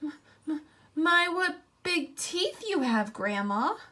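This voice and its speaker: A woman's voice: sits high, very animated, a little slow. A woman teacher voicing a kind little girl in a red cloak while reading a storybook aloud. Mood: innocent.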